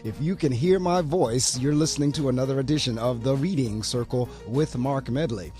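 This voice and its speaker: A man's voice: medium pitch, moderate pace, warm tone, soft background music. A man hosting a morning radio show and reading the weather, with a slightly froggy voice, in an upbeat talkative way. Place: small college radio studio. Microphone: studio mic.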